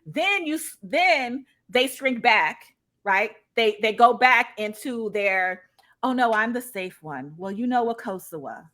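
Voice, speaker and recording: A woman's voice: on the high side, lively intonation, a little slow, a bit bright. A woman speaking as a guest in a live discussion, conversational and emphatic, often checking in as she shares her experience. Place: live online video call.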